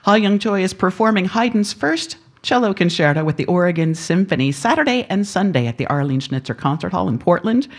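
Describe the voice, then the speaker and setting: A woman's voice: on the low side, lively intonation, fairly quick, few pauses. A woman hosting a live classical radio interview, friendly and curious, laughing often. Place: radio performance hall studio.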